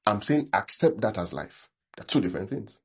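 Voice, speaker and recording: Nigerian man preaching, deep husky voice, conversational sermon with check-ins. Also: big pitch swings, moderate pace. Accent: Nigerian English. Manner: acts out sample prayers in a mocking tone. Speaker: pastor.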